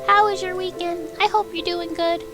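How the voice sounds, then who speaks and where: A woman's voice: very high-pitched, fairly even intonation, normal speed. Chatty woman streamer with music behind. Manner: chatty, informal, playful. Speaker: game streamer. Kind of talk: casual livestream chat while reading a visual novel aloud. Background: background music.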